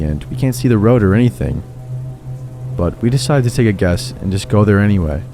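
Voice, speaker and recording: A man narrating true horror stories in a steady, low-key scripted reading. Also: gravelly. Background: faint music.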